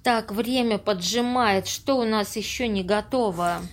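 A woman's voice: medium pitch, unhurried, lightly acted. A woman acting an earnest, anxious and strict character in an amateur audio play, speaking in a fretful, reproving way. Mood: businesslike.

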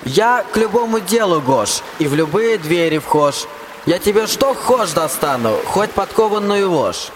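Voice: medium pitch, sing-song, unhurried. A performer playing a weary, ancient invisible spirit in a rhymed radio play, with plaintive folksy declamation. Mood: eager. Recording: reverberant, faint ambient noise behind.

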